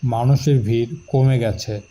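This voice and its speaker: A man's voice: medium pitch, fairly even intonation, unhurried, mellow, rough recording. A man reading scripted story narration.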